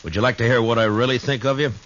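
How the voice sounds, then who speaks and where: An actor playing a blunt, grave, principled frontier marshal in a 1950s western radio drama. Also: male voice, medium pitch, fairly even intonation, rapid-fire, fairly bright tone, natural voice. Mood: stern. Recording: dull, noisy vintage radio recording.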